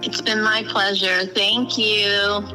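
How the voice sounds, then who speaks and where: A woman with an average-pitched voice chats as a guest on a podcast, with music audible behind her. Her manner is measured and polite. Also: little pitch movement, pushed and bright, low-quality recording. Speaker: podcast guest and casting director. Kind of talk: casual conversation.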